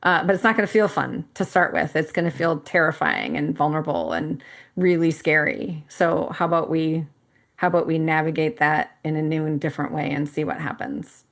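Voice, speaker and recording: Woman, fifties, fairly low pitch, candid, animated, casual podcast talk. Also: fairly quick, vocal fry. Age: 50s. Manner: candid and animated, laughs often, swears casually. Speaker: podcast co-host. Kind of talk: casual conversation.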